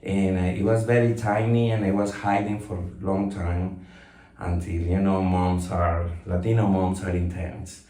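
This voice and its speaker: Low-voiced man in his fifties, from Colombia. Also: level tone, measured pace, dark tone. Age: 50s. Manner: reflective, with frequent fillers and restarts. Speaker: guest. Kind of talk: casual interview. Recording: roomy echo, mic at some distance.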